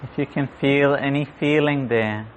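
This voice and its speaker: A man guiding a meditation in a gentle, unhurried manner, leaving long pauses. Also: mid-range voice, steady tone. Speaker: meditation guide. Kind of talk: guided meditation. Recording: muffled audio with clear background noise.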